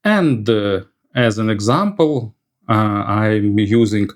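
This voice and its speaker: A man giving a product review as an audio gear reviewer, speaking in a halting, hesitant way. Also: lowish voice.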